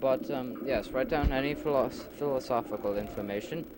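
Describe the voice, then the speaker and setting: Guy acting as calm wise leader guy in a homemade audio drama, slow to find his words, formal, super noisy tape. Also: mid-range voice, natural voice. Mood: reassuring, instructive. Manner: halting, deliberate, explanatory, formal wording. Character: calm, learned male leader of an afterlife settlement. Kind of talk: amateur audio drama dialogue. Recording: noisy homemade 1990s recording, outdoor ambience.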